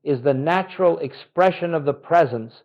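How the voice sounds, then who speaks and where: A man delivering a scripted guided contemplation as a spiritual teacher, solemn and reverent, with measured, deliberate phrasing. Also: a little high, muffled recording.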